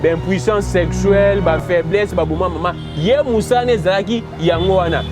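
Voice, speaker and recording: A high-pitched man with a Congolese French accent delivers outspoken political commentary in an emphatic, forthright way. He slips between two languages as he makes his points. Music plays clearly in the background. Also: normal speed. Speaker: guest commentator.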